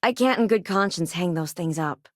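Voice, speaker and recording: An actress playing a principled, caring but firm frontier doctor in a science-fiction video game, speaking in a wry, matter-of-fact way. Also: female voice, lowish voice, animated, moderate pace, dry recording, studio quality, close to an everyday voice. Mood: hesitant, negotiating. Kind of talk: naturalistic video game dialogue acting.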